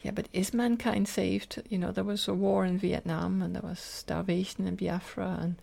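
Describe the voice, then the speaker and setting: An older Scottish woman with a creaky voice recounts her own experiences as a guest in an interview. She speaks earnestly and in detail, at a middling pitch for a woman. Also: level tone, normal speed, dark tone. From Scotland. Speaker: podcast guest, teacher and lecturer. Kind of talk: personal storytelling in interview.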